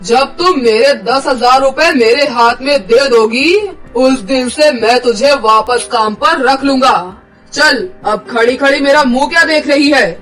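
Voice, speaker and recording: A man playing an angry, stingy rich employer in an animated story, scolding and demanding in an imperious way. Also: extremely high pitch, measured pace, far from the mic, faint music behind, full character voice, rough recording. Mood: stern, dismissive. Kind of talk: Hindi animated moral story acting.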